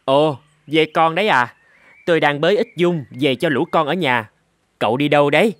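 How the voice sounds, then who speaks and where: An actor voicing a busy, irritated father rooster in an animated fable, brisk, scolding and dismissive. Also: medium pitch, dry recording, natural voice. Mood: dry. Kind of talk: Vietnamese animated fable dialogue.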